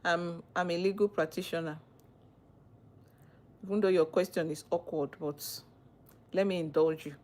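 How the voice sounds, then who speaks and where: Brief: female livestream host, Nigerian accent, slightly low voice, teasing and questioning. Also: fairly even intonation, moderate pace, long pauses, darkish tone. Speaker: livestream host, legal practitioner. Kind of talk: live chat reading viewer comments.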